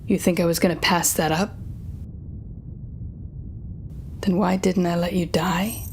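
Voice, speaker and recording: Villainess for audio roleplay, played by a woman: slightly low register, theatrical and needling, intimate address to the listener, faint noise behind. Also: fairly even intonation, darkish tone, lightly acted. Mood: cold, defiant. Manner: taunting, theatrical, drawn-out teasing phrasing. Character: sardonic female telepathic supervillain, defeated but smug.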